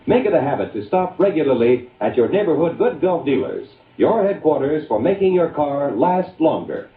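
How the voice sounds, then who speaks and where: A man with a slightly high, melodic voice reads scripted sales copy in old-time radio fashion. The sound is thin and phone-like, with noticeable echo around him. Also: big pitch swings, moderate pace. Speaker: radio commercial announcer. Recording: tinny, echoey vintage radio recording.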